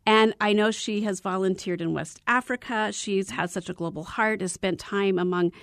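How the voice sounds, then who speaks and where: A woman's voice: mid-range voice, moderate pace, a bit bright, dry recording, studio quality. Bubbly woman radio co-host. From Arizona. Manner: bubbly, laughing banter. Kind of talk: casual chat and interview.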